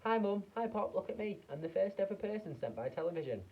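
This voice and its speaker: A man reading aloud and voicing a brash, excited young boy who talks eagerly and boastfully. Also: high voice, fast talker, breathy edge, far from the mic, muffled recording, voice pushed up, exaggerated voice.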